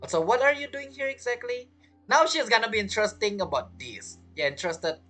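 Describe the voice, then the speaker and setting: A woman's voice: a little high, lively intonation, a little fast, fairly bright tone, faint music behind. A woman streamer giving casual game commentary, chatty and reacting aloud in short fragments. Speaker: gaming streamer.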